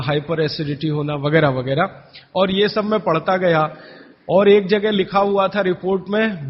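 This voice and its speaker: High-voiced man lecturing in a reverberant hall. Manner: methodical, listing points in repeated phrases. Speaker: public health lecturer. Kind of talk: public lecture, explaining report figures. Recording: long hall reverb, dull muffled sound.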